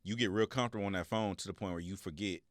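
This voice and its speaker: Male American co-host, fairly low voice, casual and reflective, hedges as he talks, dry studio-tight sound. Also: rapid-fire, dry recording. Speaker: talk show co-host. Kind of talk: casual conversational commentary.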